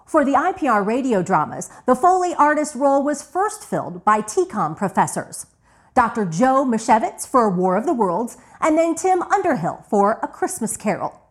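Lively higher-voiced woman narrating radio documentary. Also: normal speed. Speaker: host narrator.

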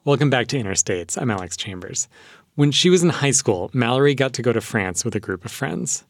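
A man's voice: mid-range voice, expressive, brisk, no room sound, faint music behind. A man hosting a radio show, reading scripted links and introductions.

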